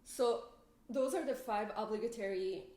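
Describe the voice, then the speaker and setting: A woman's voice: on the high side, moderate pace, a little mellow. A young woman co-hosting a podcast, chatty and giggly, leading the questions in casual talk. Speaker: podcast co-host. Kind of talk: casual chat. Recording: echoey room.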